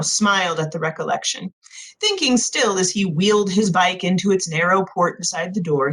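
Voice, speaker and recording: A woman reading a novel aloud as expressive scripted narration. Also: mid-range voice, poor audio. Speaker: audiobook narrator.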